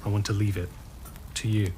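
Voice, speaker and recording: An actor playing a weary, disillusioned former army officer in a period audio drama, speaking in a measured, grave way. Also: male voice, on the low side, flat delivery, dark tone, slight background noise, natural voice. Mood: earnest, wistful.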